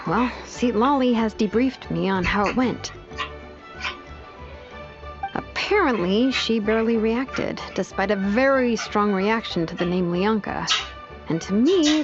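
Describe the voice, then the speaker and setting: A woman voicing a cautious, analytical young strategist in a video game, with a thoughtful, deliberate, explanatory delivery. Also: medium pitch, muffled recording, natural voice.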